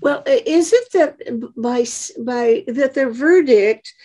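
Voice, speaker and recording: Halting elderly woman interviewer. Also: high-pitched, dark tone. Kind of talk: casual interview conversation. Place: dim book-lined room at home. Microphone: computer mic on a video call.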